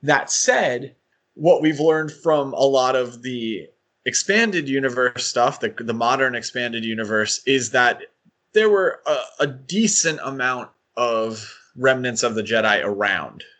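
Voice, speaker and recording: A man with a middle-pitched voice co-hosts a casual podcast discussion. He thinks aloud, speculating and adding asides as he goes. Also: animated, unhurried, fairly bright tone. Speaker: podcast co-host.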